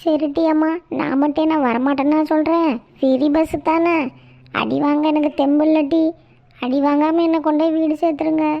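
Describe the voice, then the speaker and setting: Woman doing a high-pitched, bossy Tamil village woman. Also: level tone, normal speed, creaky. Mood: pleading, chatty. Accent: Tamil Nadu. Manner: colloquial, scolding, teasing. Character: bossy, chatty village woman among gossiping friends. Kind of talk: cartoon comedy skit dialogue. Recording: muffled, band-limited audio.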